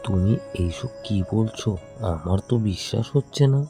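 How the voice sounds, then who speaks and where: A man's voice: fairly low pitch, lively intonation, normal speed, dark tone. A man voicing a gullible, mildly reproachful husband and son in a cartoon folk tale. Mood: doubtful, surprised. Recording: music behind the voice.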